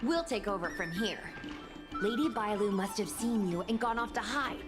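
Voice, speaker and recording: Woman doing a steady young team leader. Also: medium pitch, moderate pace, close to an everyday voice. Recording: game cutscene with music score. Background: music.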